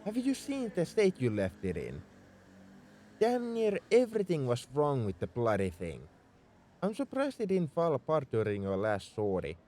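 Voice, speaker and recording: Male actor as tsundere spaceship mech engineer for roleplay audio: lively, exasperated, scolding, secretly caring, mid-pitched, slight noise behind. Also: moderate pace. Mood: sarcastic, incredulous. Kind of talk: ASMR roleplay audio drama.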